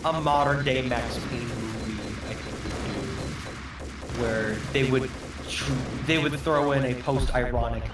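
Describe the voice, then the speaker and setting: Halting man on an echoey, distant mic. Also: medium pitch, loud music behind the voice. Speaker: guest co-commentator. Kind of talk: casual chat, commentary. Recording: echoey, distant mic, game gunfire behind.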